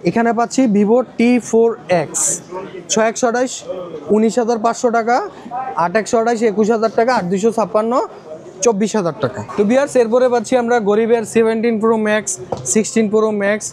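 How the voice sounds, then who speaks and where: A man with a Bangladeshi accent pitching phones to viewers in a shop, rattling off models and prices and repeating them. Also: fairly even intonation, quick pace, a little mellow. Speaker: phone shop seller presenting stock and prices. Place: electronics shop in a busy shopping complex. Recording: busy shopping mall crowd behind voice. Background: crowd chatter.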